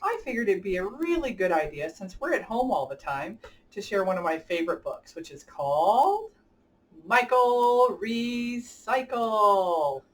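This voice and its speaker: A woman's voice: mid-range voice, sing-song, a little slow. Enthusiastic woman hosting children's story time, distant mic. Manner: enthusiastic, addressing children directly. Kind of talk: picture-book read-aloud and chat for children.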